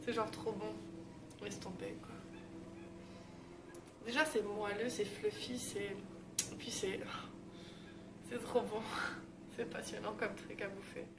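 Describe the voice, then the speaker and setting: A woman's voice: medium pitch, fairly even intonation, slow, long pauses. A young woman in her twenties chatting casually in a vlog with lots of fillers. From Belgium. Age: 20s. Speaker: YouTuber. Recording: roomy echo, distant mic, noisy.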